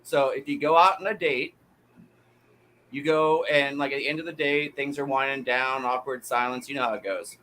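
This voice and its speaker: A man's voice: medium pitch, fairly even intonation, moderate pace, bright, forceful tone, slight background noise, low-quality recording. A man in his thirties telling stories in a casual chat, laughing at his own jokes.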